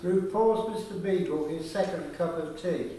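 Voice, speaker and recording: A man narrating a radio play from a script, reading stage directions in a measured, deliberate way. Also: on the high side, dark tone. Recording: distant, echoey, noisy room recording.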